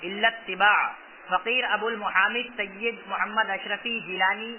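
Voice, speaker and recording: A man formally reading out written religious endorsements, with Arabic phrases and names, in measured, separated phrases. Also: high voice, fairly even intonation. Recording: tinny phone-like sound with faint noise.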